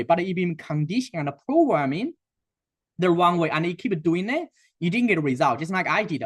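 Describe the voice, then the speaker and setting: Chinese-accented man hosting a sales webinar. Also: sits high. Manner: halting, many fillers and restarts. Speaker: webinar host and sales coach. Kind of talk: webinar presentation.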